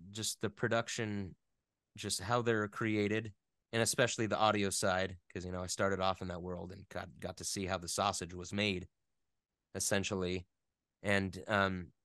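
A man speaking as an interview guest in casual chat, thoughtful and often halting as he searches for words. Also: deep voice, fairly bright tone, no echo, clean studio sound. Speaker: interview guest, video game voice actor.

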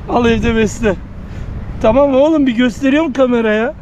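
Chatty dad filming outdoors, noisy mic. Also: male voice, extremely high pitch, normal speed. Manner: chatty, playful with his son, commenting while catching. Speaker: fishing channel host. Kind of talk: casual outdoor vlog talk to camera. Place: outdoors by a park stream. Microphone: action camera on the body. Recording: outdoor camera audio with steady background noise.

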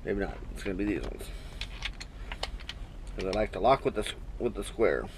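A man's voice: on the low side, lively intonation, normal speed, gravelly, noisy background. A man in his thirties, a trucker, casually unboxing and reviewing gear in his truck cab, rambling and thinking aloud with lots of fillers, recorded on an action camera. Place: small truck sleeper cab, indoors. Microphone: action camera on the cab wall, built-in mic.

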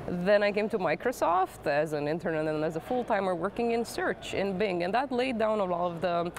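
A woman's voice: mid-range voice, animated, a little fast, a little hiss or hum. A woman in her thirties from Romania, a product manager speaking in an articulate, upbeat way in a corporate interview, recorded on a lapel mic in a large atrium. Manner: articulate, upbeat, frequent tag questions. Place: large modern atrium lobby with plants.

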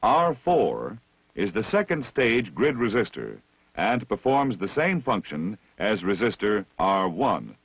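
A man reading scripted technical instruction as the narrator of a vintage military training film, measured and deliberate, on a dull old film soundtrack. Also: fairly low pitch, very animated, breathy edge, dry recording. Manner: measured, didactic, deliberate enunciation.

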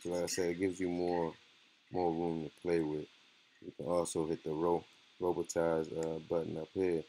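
A man giving a casual software tutorial in a laid-back, slangy way. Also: low voice, little pitch movement, normal speed, poor audio. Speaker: music production tutorial host.

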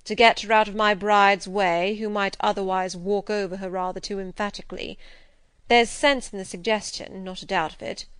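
Storybook gentleman, played by a woman: commanding, theatrical, gruff and teasing, very dry close sound. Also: mid-range voice, fairly even intonation, normal speed, dry recording, natural voice. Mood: sardonic. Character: gruff, wry, domineering gentleman teasing his employee. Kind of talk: dramatised classic novel reading.

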